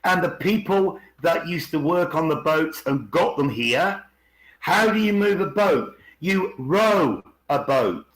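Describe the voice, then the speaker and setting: A man's voice: on the high side, animated, slow pace, a bit bright. Echoey man explaining things on a podcast. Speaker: podcast co-host. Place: indoors on an online group call. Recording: roomy, echoing online call.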